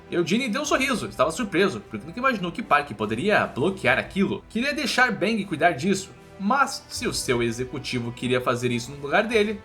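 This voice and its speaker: Man, Brazilian accent, high pitch, animated intonation, informal scripted recap storytelling, faint background music. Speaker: recap narrator.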